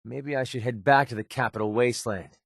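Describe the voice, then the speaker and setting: An actor playing a guarded, wry and short-tempered young mercenary with a tender side in a video game, speaking informally. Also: male voice, medium pitch, fairly even intonation, vocal fry, dry recording, studio quality. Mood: irritated, warning. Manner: informal, halting when emotional. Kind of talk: scripted video game character dialogue.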